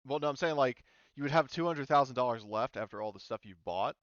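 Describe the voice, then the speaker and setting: Man, game master, smooth low voice, casual discussion, hesitant, muffled dry sound. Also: quick pace, dry recording. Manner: hesitant, thinking aloud.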